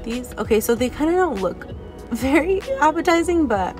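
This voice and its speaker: A woman's voice: high-pitched, animated, moderate pace. Young woman, casual vlog, chatty, lots of "like", inside a car, dashboard camera, faint music behind. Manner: chatty and upbeat, frequent "like" fillers. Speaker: vlogger. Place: parked car, indoors.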